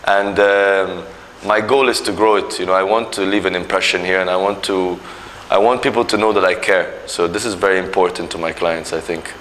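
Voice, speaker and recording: Deep-voiced executive man, earnest and halting. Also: steady tone, a bit bright, a little hiss or hum, rough recording. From Nigeria. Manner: earnest, repeats himself, many fillers. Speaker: company executive. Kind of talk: corporate interview talk.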